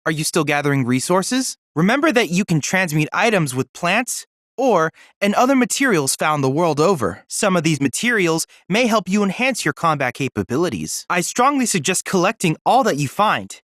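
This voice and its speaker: Android boy assistant, polite, bookish, eager, animated intonation, dry close sound, video game dialogue. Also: high-pitched, dry recording. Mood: encouraging, formal. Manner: formal, precise, courteous, bookish diction.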